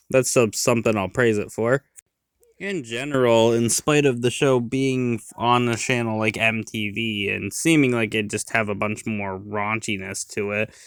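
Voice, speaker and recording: A man's voice: medium pitch, fairly bright tone, smooth, dry recording, clean studio sound. A man giving an informal review as a voice-over host, conversational and hedging his opinions as he goes.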